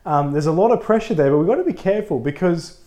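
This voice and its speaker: A man who is a pastor, co-hosting a casual podcast, a little husky from a cold, upbeat and chatty. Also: on the high side, animated, fast, warm tone. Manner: upbeat, chatty, laughs easily.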